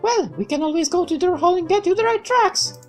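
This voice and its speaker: A woman's voice: very high-pitched, lively intonation, a little fast, a little mellow, faint music behind, voice pushed up. A woman voicing a bossy, teasing young girl in a visual novel, mocking and scolding. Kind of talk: dramatic reading of a visual novel.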